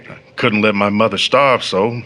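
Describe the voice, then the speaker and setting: A man's voice: lowish voice, steady tone, normal speed, sharp tone. An actor playing a polite, earnest and sympathetic working man in a stage-style audio drama. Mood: sober, sympathetic. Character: courteous, sympathetic working man, family friend.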